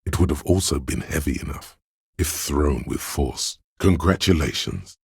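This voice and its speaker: A man voicing an analytical inner voice in a video game, narrating in a measured, dryly deadpan and precise way. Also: a bass voice, gravelly, breathy edge, dry recording, clean studio sound, heavily acted. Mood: dry, sardonic. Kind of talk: scripted game narration, second person.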